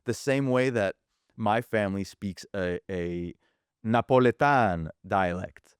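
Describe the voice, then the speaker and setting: A man's voice: lowish voice, slow, clean tone, dry recording, studio quality. A man from Quebec co-hosting a podcast, chatting casually and animatedly, switching between English and French. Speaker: podcast co-host. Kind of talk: casual bilingual chat.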